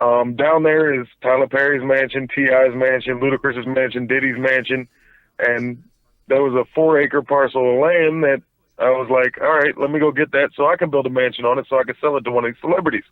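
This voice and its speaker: A man's voice: mid-range voice, fairly even intonation, a little fast, no echo. Man on a tinny phone line. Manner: rambling, stumbles and repeats words. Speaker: phone-in guest. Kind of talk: casual storytelling.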